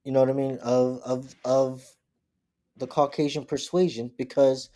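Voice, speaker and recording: A man with a mid-pitched voice co-hosting a casual podcast. He tells stories with animated bursts and laughter, swears playfully, and drops to a quieter register when he turns reflective. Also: normal speed. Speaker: podcast co-host. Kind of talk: casual storytelling chat.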